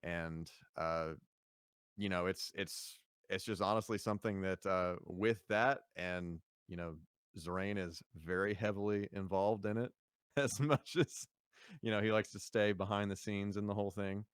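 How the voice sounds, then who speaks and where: Low-voiced man in relaxed chat. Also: measured pace, clear, resonant tone, no room sound, studio quality. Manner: relaxed, rambling with fillers and laughs. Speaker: podcast guest, singer. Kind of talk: casual podcast chat.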